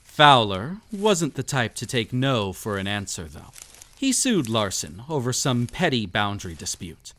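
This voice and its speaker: Mysterious stranger played by a man hosting a haunted manor in roleplay audio: mid-pitched, very expressive, teasing, quietly sinister storyteller. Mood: storytelling. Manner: teasing, long-winded storyteller, slow dramatic pauses. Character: mysterious teasing host of a haunted glass-filled estate, secretly sinister. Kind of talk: immersive roleplay audio, storytelling addressed to the listener.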